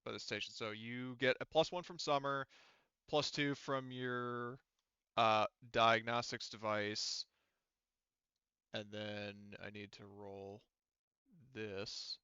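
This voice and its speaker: A man's voice: medium pitch, fairly even intonation, slow pace, pauses often, pushed and bright, smooth, no echo, dull audio. A man casually running a tabletop game as game master, rambling and swearing freely.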